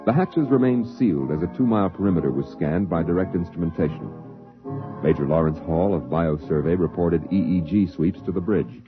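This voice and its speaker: A man with a very deep voice narrates in a formal, official manner. It is old radio drama narration, dull in tone, with music behind it. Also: fairly quick. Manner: official, documentary-like. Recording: dull vintage 1950s radio recording.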